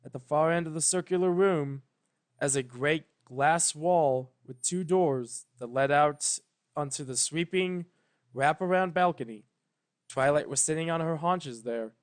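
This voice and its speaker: A man reading scripted fan fiction as narrator, solemn and deliberate, with dramatic pauses. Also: fairly high pitch.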